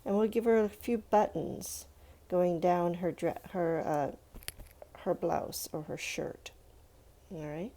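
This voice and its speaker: A woman's voice: lowish voice, fairly even intonation, takes it slowly, warm tone. A woman giving an informal step-by-step drawing lesson for children, encouraging and thinking aloud as she goes. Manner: encouraging, thinking aloud, addressing young viewers with "let's" and check-ins. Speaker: art teacher for children.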